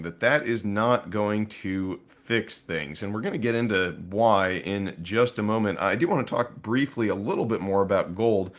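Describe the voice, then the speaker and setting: A man with a hoarse, raspy voice hosting a live stream, talking through market news casually to viewers. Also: lowish voice. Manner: conversational, with frequent fillers and asides to viewers. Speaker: host of a precious metals live stream.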